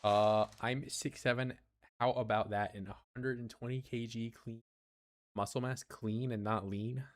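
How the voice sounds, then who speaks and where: A man's voice: medium pitch, fairly even intonation, a little slow, no room sound. A young man streaming a survival game, rambling and joking with his chat in a casual way. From United States. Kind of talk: casual gameplay commentary.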